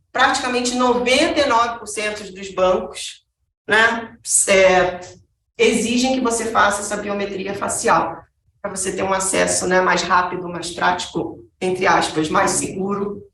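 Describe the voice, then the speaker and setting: A woman's voice: medium pitch, fairly bright tone, a little hiss or hum. A woman with a Brazilian Portuguese accent giving a conversational presentation, asking the audience rhetorical questions. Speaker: speaker giving a talk on accessibility. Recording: echoey room, voice from a distance.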